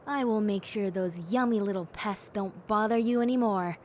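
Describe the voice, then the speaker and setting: A woman voicing a gentle, cheerful young bat girl in a soft, playful and sincere audio roleplay. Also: mid-range voice, moderate pace, slight background noise, lightly acted. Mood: grateful, cheerful. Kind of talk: audio roleplay addressed to the listener.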